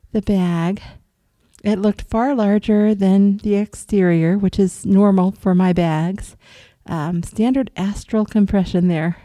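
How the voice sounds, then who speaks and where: A woman from upstate New York with a cold, casually telling viewers about an experience in a rambling way, with ums and soft laughs. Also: mid-range voice, no room sound, studio quality. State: has a cold. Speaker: vlogger recounting astral travel.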